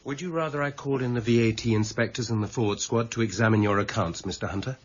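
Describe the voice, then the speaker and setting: An actor playing a stern, impatient senior English detective in a radio crime drama, clipped and dryly sarcastic. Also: male voice, medium pitch, quick pace, lightly acted. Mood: stern, pressing. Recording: dull, noisy radio broadcast copy.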